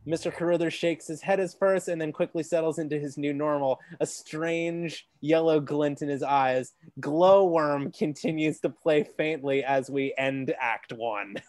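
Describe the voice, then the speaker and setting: A man with a deep voice reading stage directions aloud during a comedy script read-through. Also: a little slow, few pauses, darkish tone, dry recording.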